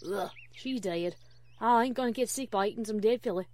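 A woman's voice: medium pitch, fairly quick, a little mellow, lightly acted. A woman voicing a menacing, hungry mock-villain wolf in a cartoon fan story, growling in a taunting, folksy, hammy way. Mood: disgusted grumbling.

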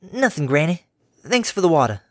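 A man's voice: fairly high pitch, big pitch swings, normal speed, dry recording, clean studio sound, pitched above the performer's own voice. A man voicing a blunt, suspicious young farm mare with a Southern drawl. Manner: gruff, folksy, blunt.

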